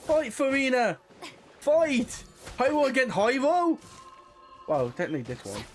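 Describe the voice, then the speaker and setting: A woman's voice: sits high, sing-song, moderate pace, soft background music. A woman giving casual, sweary, irreverent commentary while streaming a video game.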